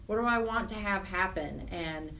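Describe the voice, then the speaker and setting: A woman's voice: medium pitch, normal speed, pushed and bright. Earnest woman explaining, noisy background. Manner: earnest, repeats and rephrases. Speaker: guest, charity program director. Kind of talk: radio interview, explanatory talk. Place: radio interview. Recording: clear background noise.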